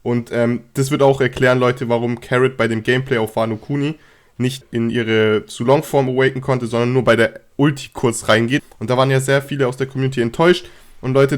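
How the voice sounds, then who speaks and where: A young man with a cold and a slightly hoarse voice, chatting casually about game news straight to his viewers. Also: mid-range voice. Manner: chatty, filler-heavy, addresses viewers directly. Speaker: gaming news youtuber.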